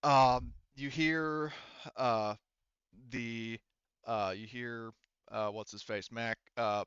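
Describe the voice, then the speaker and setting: A man running a tabletop roleplaying game, narrating casually in a halting, rambling, sweary way on a close mic. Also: medium pitch, steady tone, a bit bright, clean tone, no echo, lo-fi sound. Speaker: game master.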